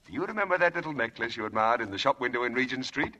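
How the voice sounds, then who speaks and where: An actor playing an exuberant, charming, roguish gambling husband in theatrical 1940s radio style. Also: male voice, mid-range voice, rapid-fire, muffled recording, slight background noise. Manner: theatrical, excitable, coaxing. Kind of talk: 1940s radio drama acting. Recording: vintage radio drama broadcast.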